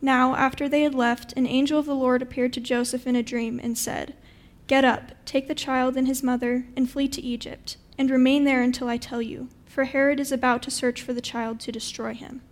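A woman's voice: high voice, level tone, moderate pace. A woman reading a Bible passage aloud from a script as narration. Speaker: scripture reader.

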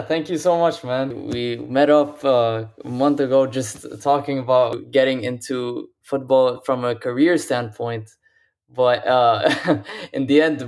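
A man from Lebanon joins a casual football podcast over a call. He talks in a hesitant way, with frequent ums and restarted phrases, at an ordinary middle pitch. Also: darkish tone. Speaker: guest. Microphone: remote call.

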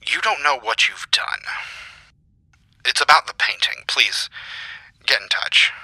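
A man playing a secretive caller leaving a grave, insistent voicemail in an audio drama, speaking haltingly. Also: medium pitch, sing-song, bright, forceful tone, breathy edge, dry recording, whispered, exaggerated voice, poor audio. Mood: urgent, ominous. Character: urgent, secretive, ominous male caller.

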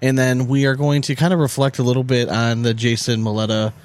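A man's voice: mid-range voice, level tone, a little fast, dry recording. A man co-hosting a podcast in casual, laid-back banter, sometimes drawing out his phrases. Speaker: podcast co-host.

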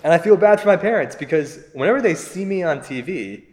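A man's voice: on the high side, lively intonation, fairly quick, darkish tone, natural voice. A man playing a self-deprecating stand-up comedian, conversational and wry as he delivers a routine. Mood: wry, amused. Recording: echoey venue reverb, faint music.

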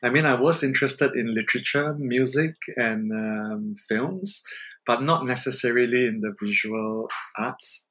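A man's voice: mid-range voice, a little slow, fairly bright tone. A man from Singapore, an artist guest in a casual interview, speaking thoughtfully and hesitantly with many fillers.

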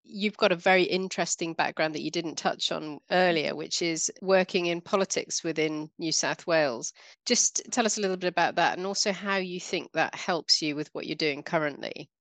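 A woman's voice: fairly low pitch, normal speed, fairly bright tone, no echo. A woman hosting a podcast interview, asking friendly, brief questions. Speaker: podcast host. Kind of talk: interview host.

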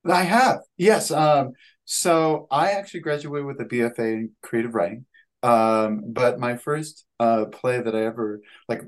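A man in his thirties speaks as a guest on a video call interview. His pitch is average for a man. He answers conversationally but haltingly, often pausing and repeating words as he builds each sentence. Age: 30s. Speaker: guest, playwright and actor.

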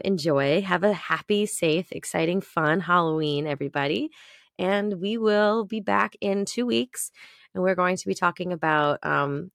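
A woman podcast host with a low-pitched, noticeably creaky voice chats on her own. She rambles casually and gushes with emphasis, and the recording is close and dry. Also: dry recording. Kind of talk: casual solo podcast introduction.

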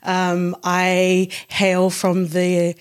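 A woman from Tonga hosts an interview in a friendly, upbeat manner. Her voice sits a little low, and she chats casually with easy slang. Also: completely flat delivery, very slow, clear, resonant tone.

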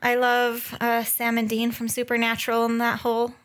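A woman's voice: fairly high pitch, monotone, moderate pace, fairly bright tone. A woman in her thirties speaking as a podcast co-host, chatting casually and informally about herself with a joking, self-deprecating streak. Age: 30s. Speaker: podcast co-host and graphic designer. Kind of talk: casual chat introducing herself.

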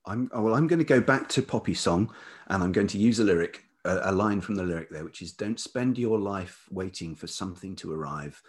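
A man speaking as an interview guest in a reflective, conversational way, thoughtful and halting as he searches for words. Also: low-pitched, animated. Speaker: interview guest, writer and musician.

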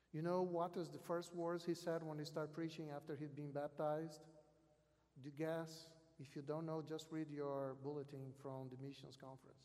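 Slow-speaking South American preacher in echoing hall. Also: male voice, fairly high pitch, a little mellow. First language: not English. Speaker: missionary preacher, guest speaker at a conference. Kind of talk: sermon. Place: large hall or church, speaking to an audience. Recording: long hall reverb over PA system.

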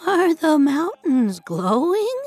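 A woman's voice: high voice, very animated, slow pace, darkish tone, dry recording, faint music behind, pitched above the performer's own voice, heavily acted. A woman voicing a small, timid goat kid who whimpers questions in a children's story. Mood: weak, hopeful.

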